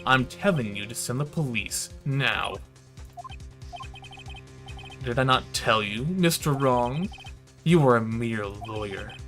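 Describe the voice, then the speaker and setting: A man's voice: mid-range voice, a bit bright. Man putting on a pompous, sneering villain tycoon. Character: arrogant, threatening male villain, a powerful businessman.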